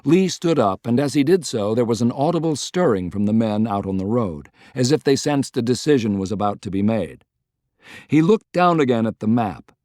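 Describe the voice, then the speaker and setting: Male audiobook narrator, mid-pitched, historical fiction, dry studio sound. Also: lively intonation, moderate pace, a little mellow, dry recording. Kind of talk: scripted dramatic narration of a historical novel.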